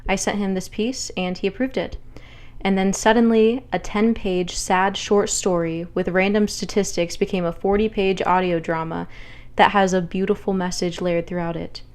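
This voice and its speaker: A woman's voice: mid-range voice, steady tone, normal speed, darkish tone. A young adult woman, a writer, conversationally introducing her own audio drama in the first person.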